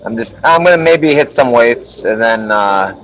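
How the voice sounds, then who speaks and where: A young man raised in Japan, an MMA fighter, chatting in a relaxed, friendly way with lots of fillers, sore from hard training. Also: medium pitch, normal speed. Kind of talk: casual interview over a call. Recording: dull lo-fi audio with faint noise.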